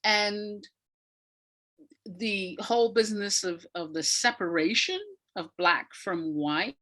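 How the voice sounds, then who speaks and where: Slow-paced, deliberate older woman in conversation. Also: medium pitch, fairly bright tone. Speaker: guest, playwright and retired academic. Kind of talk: reflective interview conversation.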